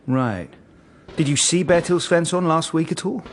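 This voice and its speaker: A man playing a composed senior police detective in a naturalistic radio drama, measured and probing in manner. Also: on the high side, a little hiss or hum, natural voice. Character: composed senior male police detective leading a murder inquiry. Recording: music behind the voice.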